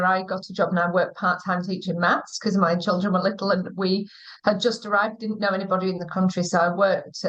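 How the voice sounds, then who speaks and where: A woman in her forties with an English accent, a school teaching director, telling her career story unhurriedly on a video call, with many pauses and fillers. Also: mid-range voice, lo-fi sound. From England. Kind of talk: conversational livestream interview.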